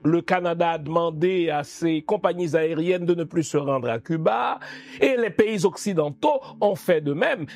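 A man's voice: sits high, vocal fry. A man from Haiti giving geopolitical commentary in a didactic, emphatic, rhetorical manner. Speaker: political commentator.

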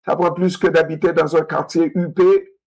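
A man in a discussion, talking in an animated way, repeating himself and asking rhetorical questions. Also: fairly high pitch, moderate pace, creaky.

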